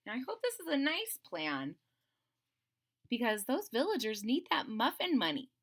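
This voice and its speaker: A woman reading a children's story aloud in a theatrical, playful way, pausing to explain things simply to young listeners. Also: on the high side, very animated, a bit bright. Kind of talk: expressive children's read-aloud with simple asides.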